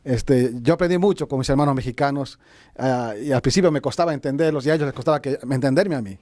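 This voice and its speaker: A man's voice: medium pitch, fast, a little mellow, no echo. Earnest South American man, dry sound. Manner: earnest, repeats words. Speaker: panelist, pastor. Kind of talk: panel discussion.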